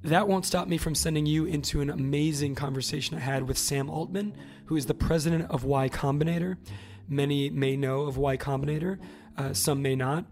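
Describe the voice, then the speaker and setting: Man, podcast host, gravelly worn voice, faint music bed. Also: fairly high pitch, fairly even intonation, brisk, a little mellow. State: scratchy, worn voice. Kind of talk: podcast interview.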